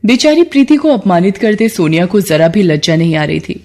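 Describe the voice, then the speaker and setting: A woman's voice: medium pitch, big pitch swings, fairly quick, background noise. A woman narrator reading a scripted moral story.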